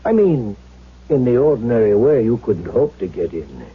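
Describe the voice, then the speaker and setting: Brash, swooping young German recruiter. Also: male voice, medium pitch, big pitch swings, normal speed, slightly rough. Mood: proud, eager. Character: brash, chummy young German political recruiter, self-important. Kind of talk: 1970s radio drama acting. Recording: muffled, hissy 1970s radio recording.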